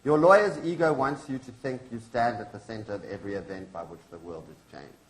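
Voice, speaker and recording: Man reading as solemn widow, low, echoey. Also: fairly quick, natural voice. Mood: challenging. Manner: solemn, accusing, rhetorical. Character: grieving, defiant widow of a hanged labor activist. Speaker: professor reading a play. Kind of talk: staged dramatic reading. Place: indoor launch event venue. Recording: echoey room.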